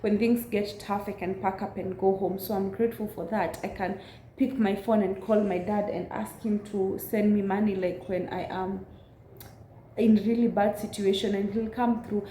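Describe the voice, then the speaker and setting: A woman in her twenties, raspy from a cold, chatting earnestly to camera in a vlog. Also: medium pitch, steady tone, mellow, reverberant, slight background noise. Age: 20s. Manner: earnest, affirming, unhurried. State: recovering from a cold. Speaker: vlogger. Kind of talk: casual chat to camera.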